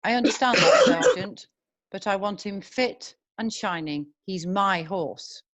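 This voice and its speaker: Woman as a lively, gentlemanly cavalry captain. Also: mid-range voice, unhurried. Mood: polite, firm. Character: gentlemanly, quietly confident male cavalry captain. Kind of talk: amateur screenplay read-through.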